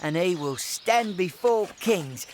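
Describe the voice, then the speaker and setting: An actor playing a kind, diligent village boy in a historical audio drama, gentle and encouraging. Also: mid-range voice, animated, slow pace, very noisy. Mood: encouraging, amused.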